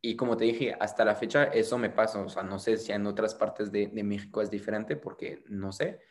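A man's voice: fairly low pitch, a little fast. A young French man speaking as an interview guest, rambling and hesitant with many restarts. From France. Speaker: interview guest, content creator. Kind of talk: casual conversational interview.

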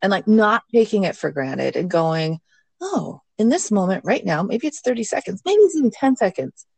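A woman guest in a casual mindfulness chat, candid and quick to laugh. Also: medium pitch, very animated, vocal fry, darkish tone, slightly rough, dry recording. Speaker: podcast guest, mindfulness teacher. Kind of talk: casual conversation.

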